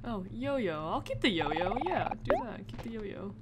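A woman's voice: medium pitch, sing-song, measured pace. A woman giving casual, reactive let's play commentary, reading game text aloud and adding informal asides. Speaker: gamer narrating a playthrough. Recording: heavy background noise, clicks.

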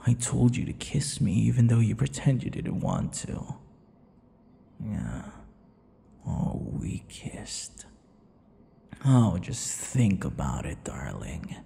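A man playing a possessive, teasing vampire lover in an ASMR audio roleplay, smug and menacing, murmuring and slipping into breathy whispers. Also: sing-song, dark tone, in a large hall, exaggerated voice. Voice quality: low, gravelly. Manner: slow, breathy murmurs dropping into whispers, mocking endearments.

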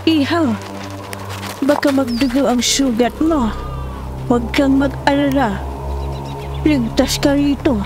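A woman's voice: high voice, slow pace, pauses often. A woman playing a caring, motherly old fisherman's wife in a horror audio drama. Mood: warm, caring. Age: older. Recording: music bed behind voice.

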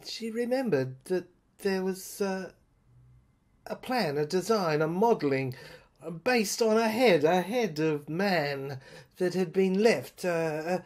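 A woman's voice: on the low side, expressive, slow, a little mellow. A woman performing a haunted, agitated narrator in an audio drama monologue, stammering and repeating words. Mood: halting recall. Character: haunted, agitated narrator recalling an uncanny asylum.

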